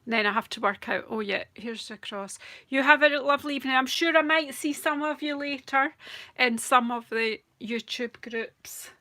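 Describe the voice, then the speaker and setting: A woman with a slightly croaky voice, chatting and rambling as she hosts a live craft demonstration. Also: high voice, moderate pace, bright, forceful tone. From United Kingdom. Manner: chatty, rambling, self-deprecating, talks while working. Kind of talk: live-stream craft demo chat.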